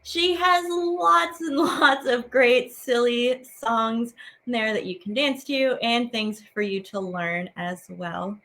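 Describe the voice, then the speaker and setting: Female kids' teaching artist, high voice, playful and encouraging, casual and simple delivery straight to the audience. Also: slow, bright, forceful tone. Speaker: teaching artist hosting a children's program. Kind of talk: children's activity lesson, casual and direct to viewers.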